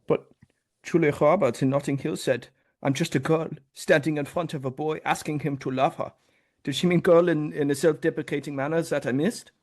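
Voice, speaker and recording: A man's voice: on the high side, steady tone, brisk, a little mellow, lightly acted. An actor playing an earnest, naive young Austrian man who is smitten and solemnly sincere in a romcom table read. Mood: hurt, puzzled.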